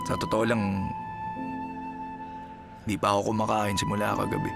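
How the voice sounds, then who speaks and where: A man's voice: fairly low pitch, creaky. An actor playing a humbled, weary single father in a Filipino radio drama, hesitant and apologetic, with a Filipino accent. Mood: weak, grateful. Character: weary, humbled jobless single father fallen on hard times. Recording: music behind the voice.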